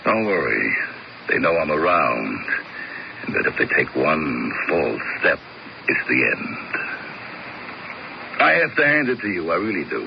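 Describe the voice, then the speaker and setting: An actor playing a blunt, cocky hired enforcer in a 1970s radio drama, with a gravelly voice. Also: male voice, a bass voice, sing-song, measured pace, pauses often, lo-fi sound, exaggerated voice. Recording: hissy old radio broadcast.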